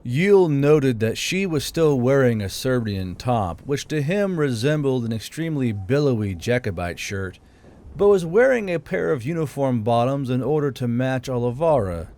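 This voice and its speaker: A man's voice: medium pitch, lively intonation, moderate pace, darkish tone. Man narrating a fantasy audiobook. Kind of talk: scripted fantasy story narration.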